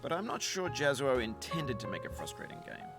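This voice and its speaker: A man giving calm, thoughtful scripted narration, measured and reflective. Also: medium pitch. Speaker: game narrator. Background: music.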